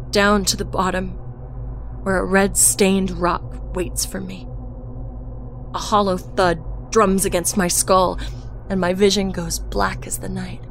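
A woman reading a dark first-person story aloud, slow and hushed, sinking toward a whisper. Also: mid-range voice, slight background noise. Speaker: narrator. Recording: narration over loud music score.

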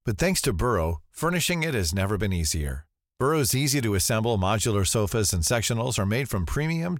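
A man's voice: lowish voice, no echo, clean studio sound. An American man reading a scripted advertisement as an announcer.

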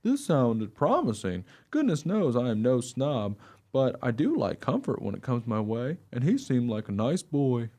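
A good-natured, unpretentious mongrel dog played by a man tells his tale in a radio play. The voice is mellow and relaxed, with animated pitch swings and a wry, conversational manner. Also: unhurried. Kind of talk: radio play first-person narration.

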